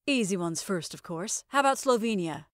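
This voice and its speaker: A woman's voice: mid-range voice, lively intonation, moderate pace, a bit bright, no echo, studio quality, natural voice. A woman voicing a haughty, condescending mother-in-law in her sixties, cool and clipped, in an audio short story. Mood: testing, smug.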